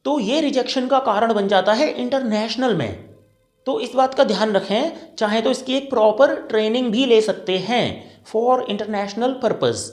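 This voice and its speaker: A man's voice: very high voice, a little slow, reverberant, faint music behind. A man with an Indian accent, a career trainer explaining patiently and checking that his listeners follow. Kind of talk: classroom-style explanatory talk.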